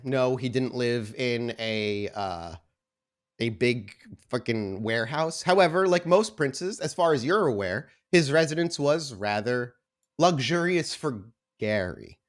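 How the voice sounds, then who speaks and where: A man improvising narration as game master of a tabletop game, with a halting delivery full of repeated words. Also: mid-range voice, expressive. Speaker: game master of an actual play podcast.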